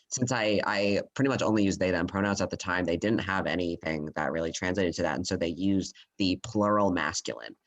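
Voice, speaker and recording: A man with a very low voice talks through his thoughts on a panel. He speaks casually and haltingly, stopping often to gather his words. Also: a bit bright, lo-fi sound. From United States. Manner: thoughtful, halting with many ums.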